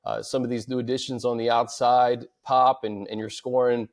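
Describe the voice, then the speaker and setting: Medium-pitched man hosting sports talk. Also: level tone, normal speed. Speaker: sports show host. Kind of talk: conversational sports discussion.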